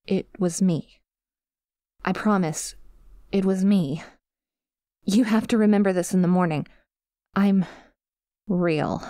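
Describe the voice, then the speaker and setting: A woman playing a possessive but vulnerable female sleep paralysis demon in an ASMR roleplay, speaking softly and hesitantly, close to the mic. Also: fairly low pitch, no room sound. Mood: shaken, insistent, then dazed, wistful. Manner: hesitant, halting, tentative, confiding. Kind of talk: ASMR roleplay, intimate monologue addressed to a sleeper. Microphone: close microphone, dry.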